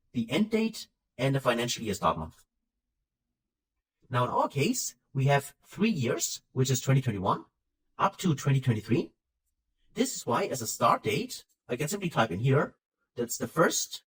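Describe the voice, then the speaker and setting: German-speaking man explaining software steps. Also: mid-range voice, far from the mic, poor audio. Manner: explanatory, walks through steps with fillers and self-corrections. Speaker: software instructor. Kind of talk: screen-recorded software tutorial.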